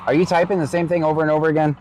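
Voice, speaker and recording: A man hosting a live stream, chatting casually with viewers and calmly giving helpful computer advice. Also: a little high, racing, slight background noise, low-quality recording. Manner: relaxed, helpful tech explainer.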